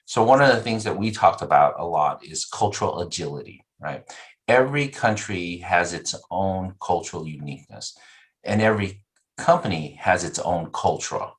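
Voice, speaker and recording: A man raised in the United States, a nonprofit executive giving measured, explanatory interview answers. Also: sits low, animated. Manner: measured, explanatory, uses "you know" and "right?" often.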